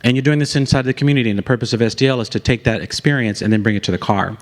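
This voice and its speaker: A man's voice: medium pitch, rapid-fire. A man presenting a hackathon project on stage in a joking, conversational way. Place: conference stage.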